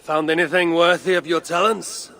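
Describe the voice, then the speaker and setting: Gravelly, brooding Norse god. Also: male voice, on the high side, little pitch movement. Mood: brisk. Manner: measured, grave, hushed at times. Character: grave, brooding older male Norse god and anxious father. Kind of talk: video game cutscene acting.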